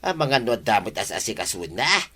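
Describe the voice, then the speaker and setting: A man's voice: on the low side, sing-song, a little fast, sharp tone, heavily acted. A man playing an evasive, dismissive husband with curt replies in an Ilocano comedy drama. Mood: evasive, irritated. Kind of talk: Ilocano radio-style comedy drama acting.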